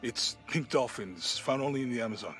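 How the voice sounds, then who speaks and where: A man's voice: medium pitch, animated, a little fast, fairly bright tone, close to an everyday voice. Easygoing, loyal adventurer's sidekick played by a man. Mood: curious. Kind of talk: naturalistic video game cutscene acting. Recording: clear music behind the voice.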